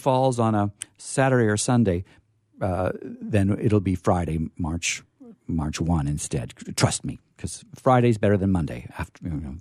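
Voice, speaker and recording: Male host for live radio chat: very expressive pitch, discursive and a touch theatrical, in a dry studio sound. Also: dry recording. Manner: rambling, playfully theatrical. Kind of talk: chatty live radio talk.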